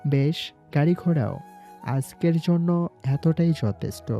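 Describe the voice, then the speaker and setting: A man's voice: fairly high pitch, animated, takes it slowly, warm tone. A man playing a young university student who is secretly a gangster boss in an audio story, with music underneath. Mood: cool, scheming. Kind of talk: Bengali audio story acting.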